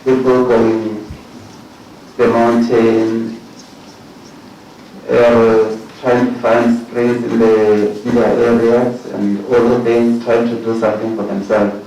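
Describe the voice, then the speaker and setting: A South African man on a commission panel, asking questions at a formal hearing in a halting way and repeating words. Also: mid-range voice, little pitch movement, mellow, muffled recording, soft background music. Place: large hearing room. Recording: echoey hall from far off, faint noise.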